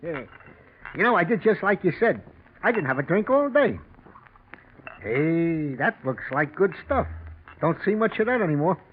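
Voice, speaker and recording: A man playing an easygoing, gullible hobo in a 1940s radio mystery, with rough, slangy, ungrammatical talk. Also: fairly high pitch, very animated, normal speed, long pauses. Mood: relaxed, eager. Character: easygoing, gullible male hobo hired for a job. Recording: scratchy, narrow-band 1940s radio recording.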